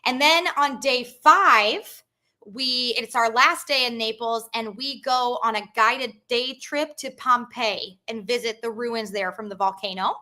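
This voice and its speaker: A woman's voice: on the high side, measured pace, pushed and bright. A woman hosting a live stream about a group tour, talking in a chatty, upbeat way with plenty of ums and replies to viewer comments. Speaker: travel company host.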